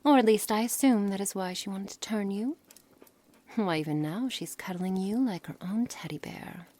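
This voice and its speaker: A woman playing a coolly menacing, scholarly witch in an ASMR roleplay, speaking softly in formal, measured words with breathy, hushed passages. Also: mid-range voice, close to an everyday voice. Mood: mildly annoyed. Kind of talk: ASMR roleplay dramatic monologue.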